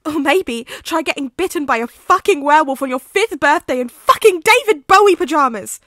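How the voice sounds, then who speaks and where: Woman reading angry werewolf teen boy, swears a lot, yells, dry sound. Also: on the high side, animated, very slow, slightly rough, no echo, exaggerated voice. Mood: furious. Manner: shouting, swearing, bitter. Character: furious betrayed teenage boy werewolf.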